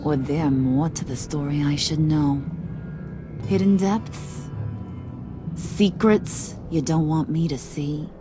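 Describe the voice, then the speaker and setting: A woman's voice: low-pitched, steady tone, slow. An actress playing a cocky, volatile female gang boss in a video game, American, teasing and slangy, slipping from singsong to sinister. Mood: probing, menacing. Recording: loud game music underneath.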